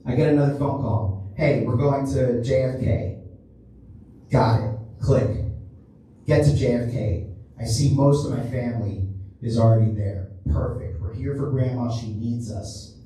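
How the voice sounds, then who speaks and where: A man's voice: mid-range voice, measured pace, dark tone, a little hiss or hum. A man doing a stand-up routine in a small theater, telling a long story in a loose, rambling way. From Cuban family. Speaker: stand-up comedian. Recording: echoey room from far off.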